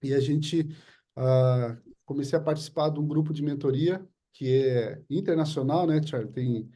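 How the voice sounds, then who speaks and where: A man with a Brazilian Portuguese accent, a pastor hosting a church meeting, who introduces a guest and then leads a prayer, pausing often and restarting his sentences. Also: fairly high pitch, warm tone. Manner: halting, with many fillers and restarts. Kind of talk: informal introduction and spoken prayer.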